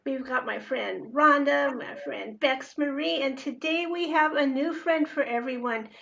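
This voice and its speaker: A cheerful woman host with a high voice opens the show warmly and welcomes everyone. Her recording sounds dull and lo-fi. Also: measured pace, vocal fry, slight background noise. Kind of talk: show opening.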